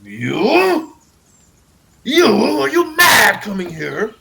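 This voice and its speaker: A man's voice: sits high, huge pitch swings, drawn-out words, vocal fry, sharp tone, pitched above the performer's own voice, heavily acted. A man voicing a blunt, hot-tempered young knight in an audiobook. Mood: furious. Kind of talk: audiobook character acting.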